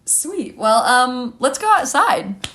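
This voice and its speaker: A woman voicing a scheming young woman desperate to get rid of her date, talking in halting slang. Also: high voice, very animated, sharp tone, pitched above the performer's own voice, full character voice. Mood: awkward, sly.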